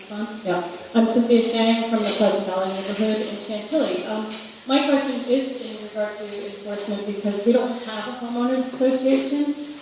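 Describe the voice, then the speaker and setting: A woman's voice: mid-range voice, darkish tone. A woman asking an earnest question as a resident at a public meeting, with some ums and restarts. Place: large indoor meeting room. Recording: echoey hall, distant mic, faint noise.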